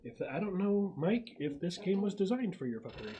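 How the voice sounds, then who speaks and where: A man's voice: sits high, very animated, brisk, warm tone, a little hiss or hum. A man acting as game master in a casual tabletop session, thinking aloud through rules and narrating the action.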